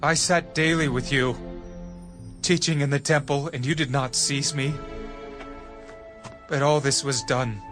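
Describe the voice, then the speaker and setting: Grave, commanding holy teacher played by a man, earnest audio-drama delivery, music clearly underneath. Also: medium pitch, steady tone, unhurried, long pauses, natural voice. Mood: stern, impassioned. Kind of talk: dramatized audio Bible acting.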